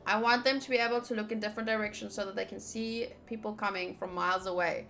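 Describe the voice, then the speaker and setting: A woman's voice: mid-range voice, steady tone, quick pace, vocal fry, bright, forceful tone. A young woman giving casual, chatty playthrough commentary, reading game text aloud and tossing in joking asides. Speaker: streamer doing a game playthrough. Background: game music.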